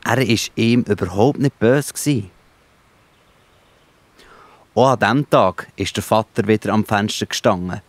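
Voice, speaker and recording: A man's voice: lowish voice, measured pace, pauses often, dry recording. A man with a Swiss German accent narrating a scripted Bible story for children.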